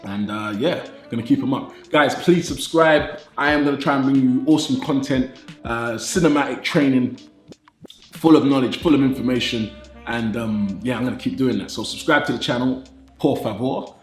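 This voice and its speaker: A man's voice: mid-range voice, normal speed, echoey room, soft background music. A British man in his thirties with a nasal voice, talking casually and enthusiastically to his viewers as a fitness YouTuber. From Britain. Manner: enthusiastic, repeats phrases, says "man" often.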